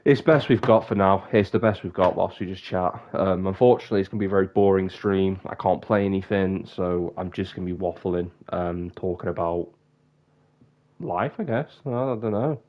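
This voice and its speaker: Young man, very low voice, sniffly and stuffed up, rambling stream chat, dull lo-fi mic. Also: moderate pace. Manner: rambling, self-interrupting. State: bunged up, sniffly. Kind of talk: casual live-stream chat.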